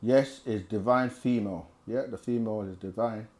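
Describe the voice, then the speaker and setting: Man, low-ish voice, chatty livestream host reading chat and riffing on answers. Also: moderate pace, a little mellow. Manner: conversational, repeats himself, reads chat aloud. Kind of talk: casual live Q&A teaching.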